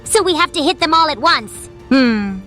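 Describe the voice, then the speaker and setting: A quick-witted young female animal character, voiced by a woman in a comic dub. The voice is high, bright and direct, with music underneath. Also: lively intonation. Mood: concerned.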